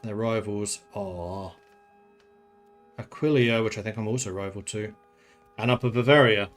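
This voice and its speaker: A man's voice: lowish voice, steady tone, unhurried, faint music behind. A man from the Netherlands who grew up in England, streaming a strategy game and thinking aloud in casual commentary, chatting with viewers and laughing often.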